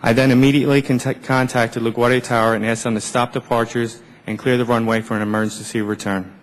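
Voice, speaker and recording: A man reading a prepared statement in a measured, formal way, as an air traffic controller giving testimony at a hearing. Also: medium pitch, flat delivery. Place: large indoor government hearing room. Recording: echoey hearing room with long reverb.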